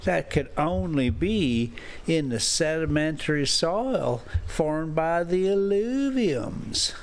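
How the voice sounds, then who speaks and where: A man's voice: a little high, lively intonation, slow pace. A man hosting a vlog in a casual, folksy, rambling way, often interrupting himself as he chats and reads a story. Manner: folksy, chuckling, self-interrupting. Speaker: vlog host reading a novel aloud.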